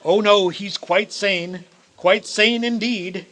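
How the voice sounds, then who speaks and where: A man's voice: high-pitched, steady tone, slow pace, fairly bright tone. An actor playing an elderly reformed miser in a radio play, grand, formal and emphatic in his delivery. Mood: exuberant, earnest.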